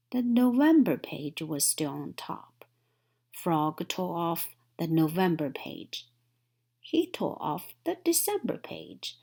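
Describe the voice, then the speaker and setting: A woman's voice: on the low side, vocal fry, a little mellow. A woman narrating a children's story from a book, as a read-aloud. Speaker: storybook reader.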